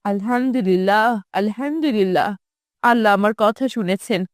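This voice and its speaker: Woman playing pious cartoon mother, voice dropped low, gentle admonishing manner, dry recording. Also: normal speed, dry recording, close to an everyday voice. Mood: thankful. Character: pious, sorrowful, caring mother of a grown daughter. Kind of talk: animated moral-drama dubbing.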